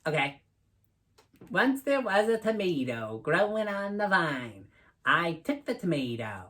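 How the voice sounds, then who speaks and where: A woman voicing a friendly, laid-back dog puppet who co-hosts a children's read-aloud show with deadpan, casual banter. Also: lowish voice, a little slow. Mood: easygoing.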